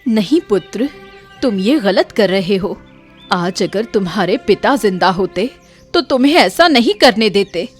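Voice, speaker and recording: Actress as older royal mother, mid-pitched female voice, anxious, scolding, storybook drama, faint music. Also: expressive, unhurried, natural voice. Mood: reproachful. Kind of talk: dramatised Hindi moral story.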